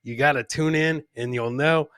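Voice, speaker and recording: A man hosting a casual, boisterous comedy show with loud, joking banter. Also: on the high side, normal speed, clear, resonant tone, dry recording. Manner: profane. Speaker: podcast host.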